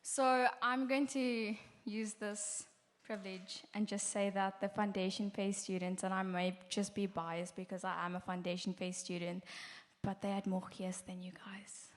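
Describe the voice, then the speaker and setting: A woman's voice: medium pitch, little pitch movement, a little slow. A young woman, delivering an enthusiastic, encouraging welcome speech to first-year students. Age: young adult. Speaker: student committee speaker, master of ceremonies. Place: large lecture hall.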